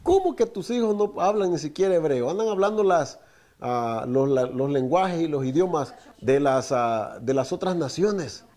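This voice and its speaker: Male preacher, middle-aged, native Spanish speaker, earnest teaching tone, mellow timbre. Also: fairly high pitch, expressive, normal speed. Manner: preaching with rhetorical questions, often addresses listeners as brother. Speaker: teacher of a Torah portion. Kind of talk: Spanish-language Torah teaching.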